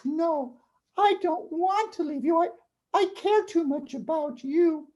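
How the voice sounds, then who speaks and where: A woman voicing a devoted, gentle young daughter-in-law in a puppet show, speaking earnestly and deliberately. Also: very high voice. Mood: pleading, resolute. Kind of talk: children's puppet show dialogue.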